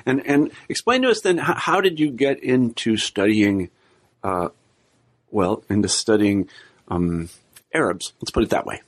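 A man's voice: on the low side, animated, unhurried. A middle-aged man hosting an interview podcast, speaking with many fillers and restarts. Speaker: podcast host.

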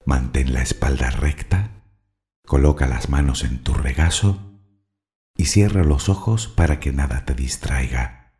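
A man leading a guided meditation, speaking soothingly and deliberately in short phrases of instruction and affirmation. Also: extremely low pitch, darkish tone.

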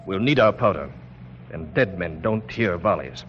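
A muffled old radio drama with faint music and a grim, practical male aide. His deep voice is blunt and subdued but respectful. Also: lively intonation, moderate pace.

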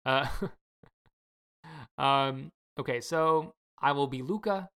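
Woman, low voice, casual opinionated podcast co-host. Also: takes it slowly, clear, resonant tone. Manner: relaxed, opinionated, many fillers. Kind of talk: casual chat.

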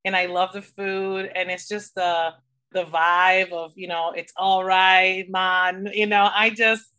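A woman's voice: medium pitch, little pitch movement, takes it slowly, bright, forceful tone, clear, resonant tone. A woman from the Dominican Republic speaking as an interview guest, telling personal stories in a candid, informal, conversational way.

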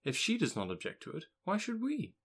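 A man voicing a witty, spirited young woman who talks with brisk irony and bursts into rapture in a storybook reading. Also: high-pitched, huge pitch swings, pitched above the performer's own voice, heavily acted. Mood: arch. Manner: brisk and ironic, turning rapturous.

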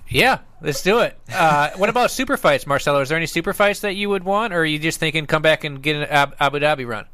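A man's voice: a little high, expressive, fast talker, pushed and bright, smooth, no echo. A man hosting a casual interview, asking questions in an informal, enthusiastic way. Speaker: podcast host.

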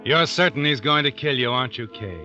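An actor playing an all-knowing, sinister narrator in an old radio suspense drama, ominous and insinuating. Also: male voice, mid-range voice, a little fast, over loud music, natural voice. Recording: muffled vintage radio recording.

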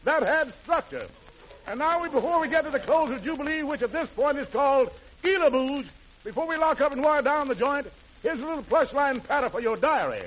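Male American variety-show host, 1940s radio, very high register, slangy showman patter, muffled and noisy vintage sound. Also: lively intonation, a little fast, fairly bright tone. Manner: jive-talking, wisecracking showman patter. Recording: dull, noisy 1940s radio recording with crowd.

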